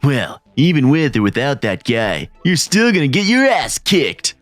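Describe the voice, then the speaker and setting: An actor playing a mocking, taunting teenage street thug in a manga voice-over. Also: male voice, mid-range voice, sing-song, unhurried, a bit bright, dry recording, faint music behind. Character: sneering teen male street thug.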